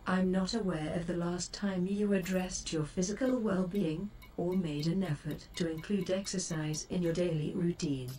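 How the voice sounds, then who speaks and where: Game ship computer, female, played by a woman: lowish, mellow, formal, measured, self-assured and superior. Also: steady tone, natural voice. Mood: caring, advisory. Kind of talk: scripted game voice assistant lines. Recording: distant, with faint background noise.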